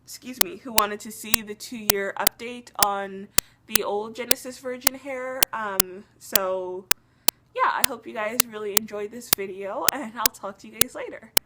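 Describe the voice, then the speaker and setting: A woman's voice: fairly high pitch, unhurried, heavy background noise. A young woman talking casually to her camera about her hair, chatty, with lots of fillers and restarts, a little sick and losing her voice. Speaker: beauty vlogger. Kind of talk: casual rambling hair review.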